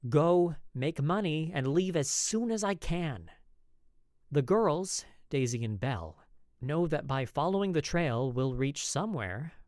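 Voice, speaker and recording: A voice actor narrating a first-person story like a journal, expressive and in character. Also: medium pitch, no room sound, studio quality.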